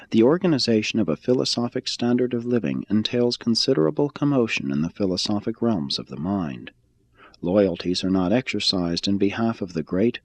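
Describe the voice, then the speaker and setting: A man with a slightly low voice reads a spiritual text aloud. His pitch moves widely and expressively across each sentence. The delivery is formal and solemn, with measured, deliberate phrasing, like an audiobook. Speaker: reader of a religious text. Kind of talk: scripted spiritual narration, audiobook reading.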